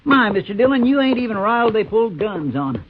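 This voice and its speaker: An actor playing an indignant, folksy deputy sidekick with a complaining Western American drawl in a 1950s radio western. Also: male voice, high voice, very animated, quick pace. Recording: tinny, hissy old radio recording.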